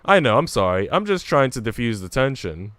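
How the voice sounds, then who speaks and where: A man voicing a wry, gently teasing, sympathetic woman companion in a visual novel. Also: mid-range voice, big pitch swings, brisk, dry recording. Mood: teasing, reassuring. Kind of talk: visual novel read aloud.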